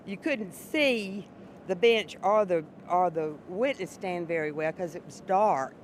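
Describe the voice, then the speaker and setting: A woman serving as a juror, answering interview questions in a loud voice, often restarting and repeating herself. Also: on the low side, a little slow, a little hiss or hum.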